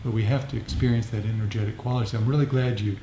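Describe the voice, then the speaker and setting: A low, mellow male voice teaching a meditation group. He explains reflectively, circling back over his phrases. The room is echoey. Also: steady tone, very fast. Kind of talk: informal dharma discussion and meditation guidance. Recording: echoey room, faint background noise.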